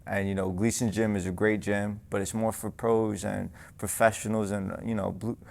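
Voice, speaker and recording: Young new boxer guy, deep gravelly voice, pretty flat, lots of "you know". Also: moderate pace. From New York. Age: young adult. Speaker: guest, amateur boxer. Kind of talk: casual interview. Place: boxing gym.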